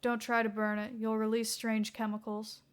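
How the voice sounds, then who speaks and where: A woman's voice: fairly high pitch, completely flat delivery, normal speed, clear, resonant tone, close to an everyday voice. A woman voicing a dogged, deadly serious male police detective in a story, stern and matter-of-fact. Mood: stern, earnest.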